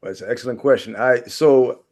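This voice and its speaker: A man in his forties from Guyana, a historian answering interview questions in a halting way, with many fillers and restarts. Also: mid-range voice, darkish tone. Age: 40s. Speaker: guest historian.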